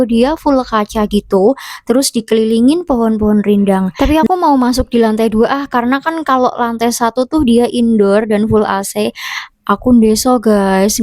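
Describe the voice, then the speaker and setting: A woman vlogging in a casual, chatty way, with a slightly hoarse voice and a somewhat stiff, blunt delivery. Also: fairly high pitch, darkish tone, dry recording. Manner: chatty and self-deprecating, sounds a bit stiff and blunt. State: hoarse from a long sore throat. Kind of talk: casual vlog narration to viewers.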